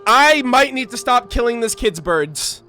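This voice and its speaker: A woman's voice: a little high, lively intonation, measured pace, pushed and bright. Casual, sweary woman streamer over game music. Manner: casual, sweary, hyperbolic slangy reactions. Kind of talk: live gameplay commentary and reaction.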